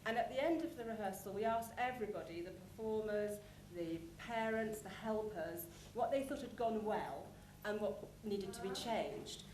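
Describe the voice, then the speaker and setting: A woman's voice: medium pitch, normal speed, few pauses, a little mellow. A woman giving an informal conference talk, chatty and anecdotal as she describes a show. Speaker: conference presenter. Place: conference hall. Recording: echoey room, clear background noise.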